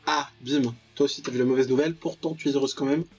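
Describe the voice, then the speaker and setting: A man's voice: medium pitch, steady tone, fast, darkish tone, muffled recording, soft background music. A young man giving casual, slangy let's play commentary, with mock-dramatic, exclamatory reactions. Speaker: gaming video host.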